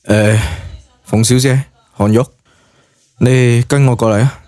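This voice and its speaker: A man's voice: mid-range voice, fairly even intonation, unhurried, darkish tone, no room sound, lightly acted. A man voicing a decisive, somewhat weary male hero in Cantonese, plain-spoken and terse, in an audio drama. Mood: calm, commanding.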